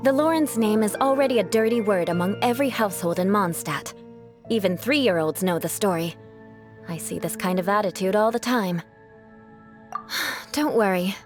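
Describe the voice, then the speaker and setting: A woman voicing a proud young aristocratic knight, formal and measured, in a video game. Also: medium pitch, expressive, over music, natural voice. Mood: calm, resigned. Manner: haughty, formal, measured phrasing.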